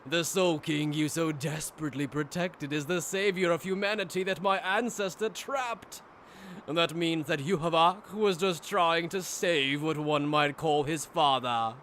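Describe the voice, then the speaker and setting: A man playing a smug, higher-voiced noble villain. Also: unhurried, a bit bright, slight background noise. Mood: smug, taunting. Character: smug, mocking aristocratic male villain. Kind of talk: anime fan dub acting.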